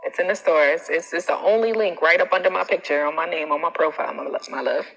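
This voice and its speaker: An American woman giving casual livestream coaching in a blunt, animated, colloquial way. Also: sits low, fast talker, creaky. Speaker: self-confidence coach. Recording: reverberant, echoing room sound.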